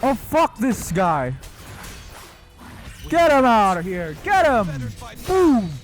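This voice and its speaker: A man's voice: very high-pitched, big pitch swings, slow. A man giving casual commentary as he streams himself playing a video game. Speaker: gameplay streamer. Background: game music.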